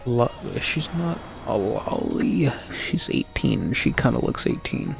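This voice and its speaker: A young man streaming a video game, talking to his chat in a soft whisper with mouth noises, his throat sore from whispering. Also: medium pitch, big pitch swings, slow, gravelly, soft background music. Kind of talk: casual gaming commentary, trying ASMR-style whispering.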